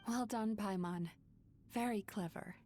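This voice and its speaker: A woman voicing a composed, wise dream guide in a video game, speaking in a calm, measured, explanatory way. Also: mid-range voice, over music, close to an everyday voice. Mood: thoughtful, composed.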